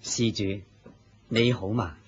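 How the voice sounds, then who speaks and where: An actor playing a serene, courteous Buddha in a Hong Kong Cantonese radio drama, speaking with composed dignity. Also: male voice, on the low side, takes it slowly, breathy edge, dull audio, heavily acted.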